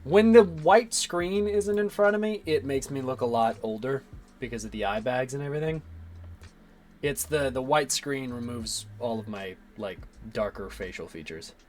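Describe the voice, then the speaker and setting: A man in his twenties with a slightly raspy voice, rambling casually and swearing as he streams his 3D modeling work and answers chat. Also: mid-range voice, normal speed, a little mellow. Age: 20s.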